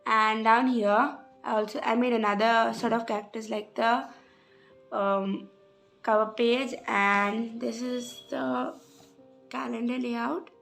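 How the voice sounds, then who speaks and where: A young woman with a slightly rough voice, hesitantly walking through her journal pages in a casual voice-over. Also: a little high, level tone, slow, a bit bright, soft background music. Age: young adult. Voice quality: hesitant, many "sort of" fillers. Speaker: journal vlogger. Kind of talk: casual craft walkthrough voice-over.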